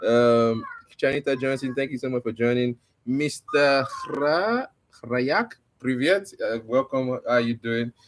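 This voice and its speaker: A man's voice: medium pitch, unhurried. A man from Nigeria hosting a livestream, chatting casually and explaining things in a conversational way. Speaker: livestream host and podcaster.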